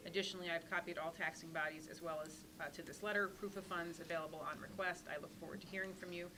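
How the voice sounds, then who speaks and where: A woman serving as board secretary, reading a letter into the record in a brisk, businesslike way. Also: lowish voice, fairly even intonation. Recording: noisy meeting room recording.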